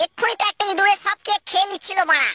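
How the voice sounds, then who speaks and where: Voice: very high-pitched, very fast, sharp tone. A performer voicing a cheeky, greedy, foul-mouthed schoolboy with a Bengali accent in a comic cartoon parody dub. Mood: sly, greedy.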